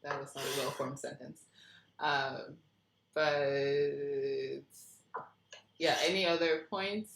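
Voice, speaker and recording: A woman with a notably low voice hosts a casual feedback discussion. She speaks as the writer leading the group, and the sound is roomy and echoey, as on a video call. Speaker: writer hosting a script table read feedback session.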